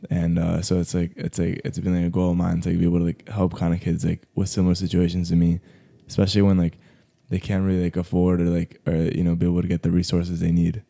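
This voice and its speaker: Deep, gravelly laid-back young man. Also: completely flat delivery, fast talker, dark tone. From Colombia, raised in South Florida. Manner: laid-back, heavy use of "like" fillers, slangy. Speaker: photographer guest. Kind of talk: casual podcast interview. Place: podcast studio.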